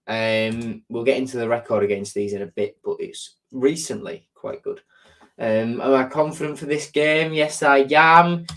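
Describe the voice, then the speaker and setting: A man's voice: mid-range voice, normal speed, fairly bright tone. A man hosts a live stream and chats easily with his viewers, reading out their comments and answering them. His voice sounds congested, with a sore throat and a blocked nose. He rambles along, filling gaps with ums and repeating words.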